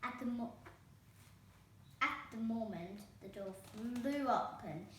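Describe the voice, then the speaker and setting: A woman's voice: a little high, slow pace. A woman reading a children's storybook aloud as a storytime host. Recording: very echoey room, distant microphone, faint noise.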